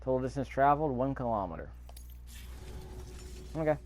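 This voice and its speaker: A man's voice: medium pitch, lively intonation, moderate pace, a little mellow. A man giving offhand, rambling gameplay commentary and reading prompts aloud as he plays. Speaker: gaming video host. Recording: faint noise behind the voice.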